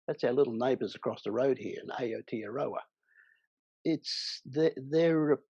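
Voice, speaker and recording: An older man talks in a measured, earnest way on a video call. His voice is gravelly and husky. The sound is dry and a little muffled. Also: mid-range voice, darkish tone, no room sound. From Australia. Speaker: panelist. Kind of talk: panel discussion over video call.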